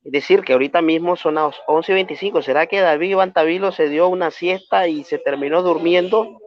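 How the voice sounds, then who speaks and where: A man from Nicaragua with a Nicaraguan accent moderating an online debate, speaking formally with frequent hesitations. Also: on the high side. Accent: Nicaraguan Spanish. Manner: measured, formal, many hesitations. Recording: dull lo-fi online stream audio.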